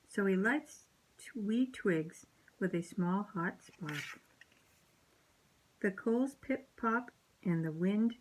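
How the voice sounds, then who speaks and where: A woman reading a children's picture book aloud, slow and sing-song, with playful voices for the animals. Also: medium pitch, low-quality recording. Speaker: storybook reader.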